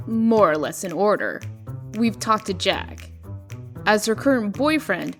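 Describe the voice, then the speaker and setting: A poised female official with a mid-pitched voice, played by a woman in a comic dub. She speaks formally and precisely with dry skepticism, over faint music. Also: a bit bright. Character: poised, formal, businesslike female deputy to the mayor. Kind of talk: comic dub character acting.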